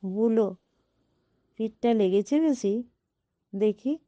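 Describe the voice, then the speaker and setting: Woman as middle-aged uncle, storybook, indulgent, gently teasing, mellow, slow-paced. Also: medium pitch, no room sound, lightly acted.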